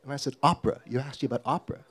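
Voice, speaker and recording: Middle-aged man from Guyana, wry live storyteller, mid-pitched and self-mocking. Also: racing, a bit bright, breathy edge.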